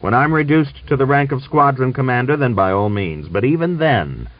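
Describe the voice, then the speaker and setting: A man's voice: mid-range voice, expressive, normal speed, dry recording, far from the mic, voice pushed up, close to an everyday voice. Man acting a defiant, thick-voiced military commander, muffled sound. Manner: stubborn, challenging. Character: defiant, proud, hot-blooded male Cossack division commander. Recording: dull lo-fi recording.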